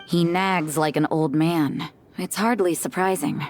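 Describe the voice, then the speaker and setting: Brief: actress as a cool, blunt woman, low voice, dismissive, faint music. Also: steady tone, measured pace, close to an everyday voice. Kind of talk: game voice acting.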